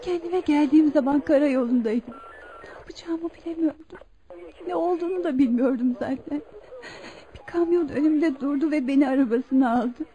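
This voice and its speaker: Woman acting a humble young mother, radio drama, high pitch, dark soft timbre, hesitant, emotional, repeating her words. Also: moderate pace, dull audio, natural voice. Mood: fragile, recalling. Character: fragile, grateful wronged young mother regaining her memory.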